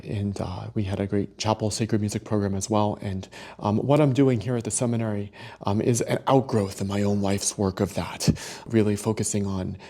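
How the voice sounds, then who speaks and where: Male interview guest, music director type, soft mellow tone, on the low side, earnest and measured. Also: level tone. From New Hampshire, United States. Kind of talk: radio interview. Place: on location at a seminary.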